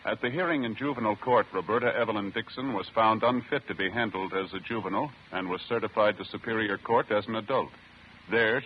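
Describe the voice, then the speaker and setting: Low-voiced formal American radio announcer. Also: male voice, slight background noise. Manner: formal, measured, official. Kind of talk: 1950s radio announcing, formal scripted narration. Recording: dull old radio recording. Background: music.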